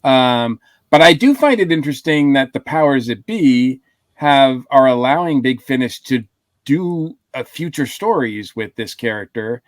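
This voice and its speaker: A man's voice: mid-range voice, expressive, a little slow, no echo. A man co-hosting a casual podcast discussion, thinking aloud with many fillers. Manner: thoughtful, hedging with fillers. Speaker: podcast co-host.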